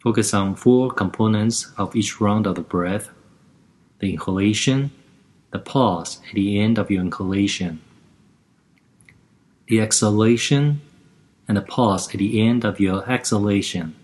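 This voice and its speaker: A man guiding a lying-down relaxation and breathing exercise, giving gentle step-by-step instructions in a calm, even way. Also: lowish voice, a little slow, pauses often.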